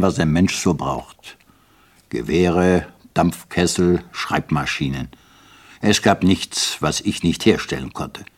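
A man reading out the words of a practical, self-assured American man, in a plain-spoken, confident way. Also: sits low.

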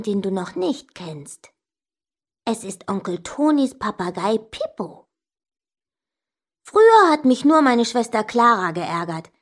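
A woman's voice: on the high side, heavily acted. A woman voicing an indignant young child who tells stories about an older sister, expressively and straight to the listener. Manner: expressive, emphatic, addressing the listener directly. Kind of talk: scripted children's story narration.

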